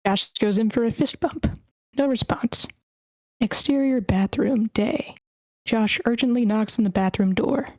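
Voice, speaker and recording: A woman's voice: on the high side, a little slow, darkish tone, no room sound, low-quality recording. Woman hosting a script read, dry sound. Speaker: host of a script table read, reading stage directions. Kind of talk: live read-aloud of screenplay directions and casual hosting. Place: online meeting.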